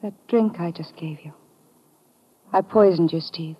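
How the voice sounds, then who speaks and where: An actress playing a treacherous, calculating femme fatale in a 1950s radio drama. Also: female voice, lowish voice, a little slow, husky. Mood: hushed regret. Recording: dull vintage radio recording.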